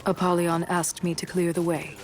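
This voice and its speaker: Low-voiced proud female knight played by an actress. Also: level tone, moderate pace, a little mellow, a little hiss or hum, close to an everyday voice. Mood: composed, resolute. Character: proud, obedient female knight warrior narrating her campaign. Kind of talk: scripted narration in a video game.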